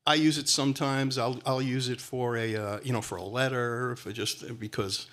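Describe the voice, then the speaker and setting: A man's voice: mid-range voice, fairly even intonation, normal speed, a bit bright. A middle-aged man with a Brooklyn accent, speaking as a panelist in a rambling, conversational way. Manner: rambling, conversational, many fillers. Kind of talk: panel discussion.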